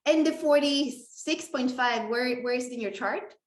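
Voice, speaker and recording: A woman's voice: high-pitched, moderate pace. A woman co-hosting a live webinar, talking casually and reflectively, with a roomy echo around her voice. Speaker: webinar co-host. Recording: roomy, echoing sound.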